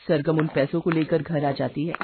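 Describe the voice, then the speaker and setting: A woman's voice: deep voice, flat delivery, normal speed, phone-quality sound, slight background noise. A woman reading a scripted moral bedtime story as its narrator.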